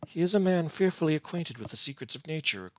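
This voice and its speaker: A man voicing a gentle, lonely, devoted young woman in a gothic storybook, with archaic, plaintive, imploring speech. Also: a little high, animated, fast, no echo, pitched above the performer's own voice. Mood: tender, fearful. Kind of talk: dramatic gothic storybook reading.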